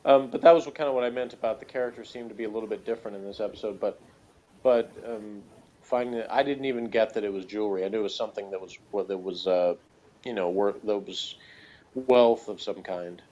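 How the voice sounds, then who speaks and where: Man in casual, halting discussion, dull recording. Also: on the low side, warm tone. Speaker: podcast co-reviewer. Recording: dull, lo-fi sound.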